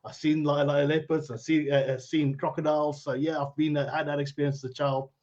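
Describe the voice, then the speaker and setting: A man's voice: a little high, level tone, a little fast, darkish tone, muffled recording. A middle-aged man with a South African accent, guest on a live-streamed interview, talking in a chatty, rambling way. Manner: chatty, rambling, laughs easily. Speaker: guest, cryptocurrency educator. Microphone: video call.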